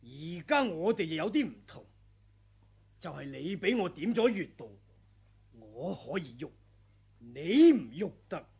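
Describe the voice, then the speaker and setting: Taunting, scheming older wuxia villain. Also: male voice, fairly high pitch, big pitch swings, creaky, darkish tone, breathy edge, heavily acted. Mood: smug taunting. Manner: slow, taunting, pompous. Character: scheming, menacing older senior martial brother, smugly vengeful. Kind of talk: Cantonese radio drama villain acting.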